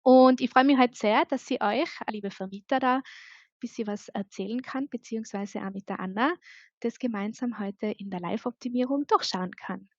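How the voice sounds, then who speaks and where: A woman with an Austrian accent, a hotel consultant explaining in a lively, upbeat webinar presentation. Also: fairly high pitch, moderate pace, no echo, dull audio. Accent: Austrian German.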